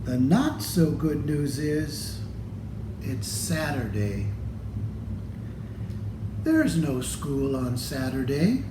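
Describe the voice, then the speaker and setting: A man reads a picture book aloud for children. His delivery is very slow and expressive, addressed straight to young listeners. The room is echoey, with clear noise behind the voice. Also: mid-range voice. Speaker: storybook reader. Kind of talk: children's read-aloud storytelling.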